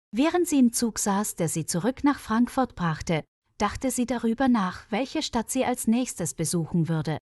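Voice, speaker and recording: A woman's voice: mid-range voice, darkish tone, no echo, studio quality. A woman reading a scripted story for language learners as its narrator. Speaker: narrator of stories for German learners.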